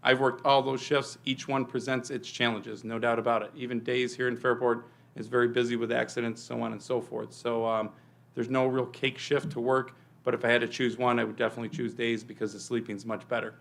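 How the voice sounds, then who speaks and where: A man, a police chief, presenting an update to a village board in a meeting room, talking loudly with many fillers. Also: mid-range voice, level tone, brisk, gravelly. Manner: speaks loudly without a microphone, filler-heavy.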